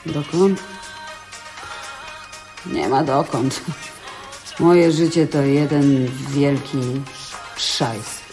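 A woman's voice: low voice, very slow, pauses often, mellow, faint music behind. An actress playing a hard-up, disillusioned, streetwise woman in a radio drama, speaking bluntly and colloquially. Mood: bitter.

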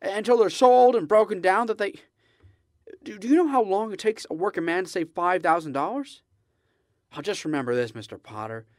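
A high male voice , an actor's, for an earnest young idealist in a radio drama. He stammers and restarts, then pushes on with emphasis. The sound is very dry. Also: fairly quick, dry recording, lightly acted. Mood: indignant, impassioned. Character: earnest, impassioned young man, stammering small-town idealist.

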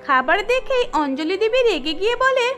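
A woman's voice: extremely high pitch, sing-song, moderate pace, a bit bright, soft background music. A woman narrating a scripted cartoon story as the storyteller.

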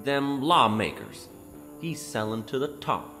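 Guy doing a scared reluctant informer in a radio play, hesitant, pitch jumps around, long hall reverb. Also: very animated, sharp tone, full character voice. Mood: reluctant, uneasy. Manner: halting, hesitant. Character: frightened, reluctant male informant pleading for his wife. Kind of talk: audio drama acting. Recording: long reverberant hall sound, faint music behind.

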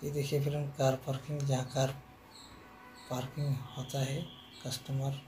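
A man's voice: mid-range voice, flat delivery, normal speed, warm tone, slight background noise. A man giving a casual walking tour of a shopping mall, filming on his phone and repeatedly calling the viewers friends. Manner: repetitive, addresses viewers as friend. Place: outdoors and inside a large shopping mall.

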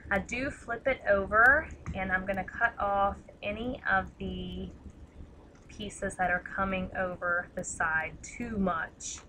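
Raspy, bright-toned chatty woman crafter. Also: medium pitch, fairly even intonation, takes it slowly, slight background noise, poor audio. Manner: chatty, rambling, self-deprecating. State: tired, slightly hoarse. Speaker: craft demonstrator. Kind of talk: casual livestream craft tutorial.

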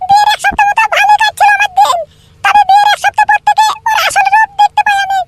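An extremely high, squeaky young wife in a comic cartoon. She pleads plaintively and talks very fast, with loud music playing behind her. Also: flat delivery, recorded from a distance. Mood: plaintive, pleading. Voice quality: squeaky, shrill. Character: young married woman, tearful, pleading battered wife.

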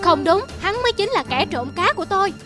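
A super high whiny sneaky stork for a kids cartoon, always complaining, music behind. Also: female voice, very animated, a little fast. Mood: aggrieved. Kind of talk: animated fable dubbing.

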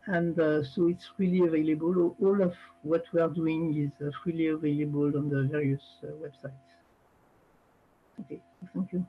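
A woman's voice: sits low, steady tone, moderate pace, slight background noise. A woman giving a remote conference presentation through a headset mic, speaking hesitantly with many fillers and restarts. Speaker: panel speaker presenting a project. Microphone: headset microphone. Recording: distorted, muffled video call audio.

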